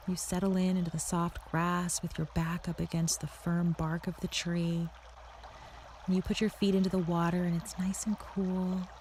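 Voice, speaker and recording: A woman narrating a guided meditation in a soothing, unhurried, softly spoken way with a creaky voice, leading the listener through an imagined scene. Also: on the low side, a little hiss or hum. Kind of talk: guided meditation, second-person scripted visualization.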